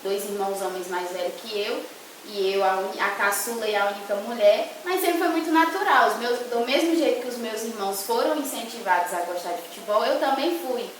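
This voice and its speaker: A woman's voice: a little high, a little fast. A young woman with a northeastern Brazilian accent giving conversational interview answers as a guest, often tagging phrases with a short checking question. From Ceará, northeastern Brazil. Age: young adult. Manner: frequently tags phrases with a checking "right?". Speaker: interview guest, sports commentator and journalism student. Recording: very echoey room, distant mic, faint hiss.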